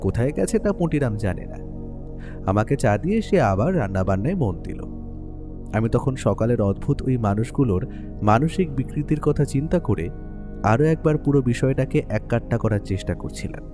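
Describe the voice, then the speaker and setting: Music plays clearly behind a male storyteller an actor as the detective's writer friend. His mid-pitched voice moves with lively intonation, giving expressive, literary narration of a mystery. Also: normal speed, lightly acted. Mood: pensive, uneasy. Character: male writer, detective's observant companion, narrating in first person. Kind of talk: scripted dramatic story narration. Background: clear music bed.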